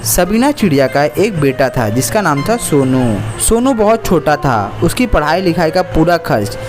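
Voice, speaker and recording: A man's voice: a little high, animated, normal speed, music in the background. A man narrating a scripted children's story as the storyteller.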